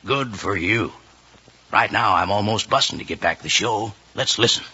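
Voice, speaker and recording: A man's voice: lowish voice, moderate pace, pushed and bright, breathy edge. A man announcing a sponsor's advert in hearty, folksy cowboy patter, 1950s radio style. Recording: dull old radio recording.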